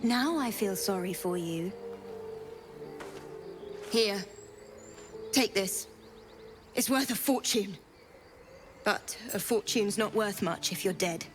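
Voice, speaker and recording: A woman's voice: medium pitch, takes it slowly, long pauses, soft background music, lightly acted. An actress playing a wary, plain-spoken woman survivor in a fantasy video game, frank, pragmatic and guarded. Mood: wary, wry. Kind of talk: video game character acting.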